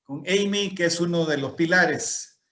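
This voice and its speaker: A man's voice: fairly high pitch, normal speed, pushed and bright. A man moderating an online event as a bilingual host and interpreter.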